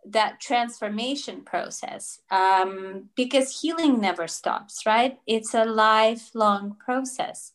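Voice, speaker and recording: A woman in her thirties, born in Russia with Russian as her first language, telling her story as an interview guest over a video call, thoughtful with many fillers and restarts. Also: medium pitch. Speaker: naturopathic doctor, guest.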